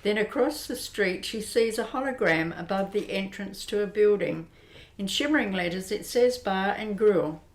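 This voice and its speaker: A woman narrating an amateur radio drama in a steady, descriptive way. Her voice sits at a middle pitch. The recording has a roomy echo around it. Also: normal speed, a little hiss or hum.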